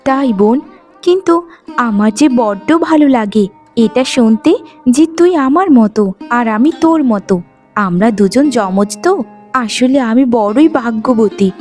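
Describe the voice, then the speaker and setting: A woman voicing a gentle, dutiful young village sister in a cartoon, speaking in a soft-hearted, pleading way. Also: fairly high pitch, expressive, moderate pace, faint music behind, close to an everyday voice. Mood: tender. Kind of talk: animated folk-tale cartoon voice acting.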